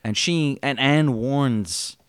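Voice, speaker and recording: A man's voice: medium pitch, slow pace, smooth, dry recording, studio quality. A man co-hosting a podcast, chatting casually about a miniseries, rambling and joking with frequent laughs. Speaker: podcast co-host. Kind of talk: casual chat reviewing a miniseries.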